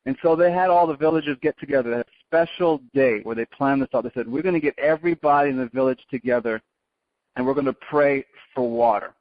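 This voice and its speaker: A man's voice: mid-range voice, quick pace, dry recording. Man, earnest motivational storyteller, frequent restarts, muffled dry phone audio. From South Africa. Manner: earnest, restarts words often. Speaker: guest speaker. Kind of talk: motivational storytelling on a conference call. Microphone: phone line.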